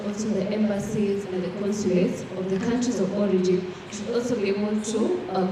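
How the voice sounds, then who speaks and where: Young woman on a panel, echoey hall. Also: medium pitch. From Rwanda. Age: young adult. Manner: earnest, fluent with frequent fillers and restarts. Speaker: panelist, student representative. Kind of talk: panel discussion answer. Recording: echoey hall from far off with background noise.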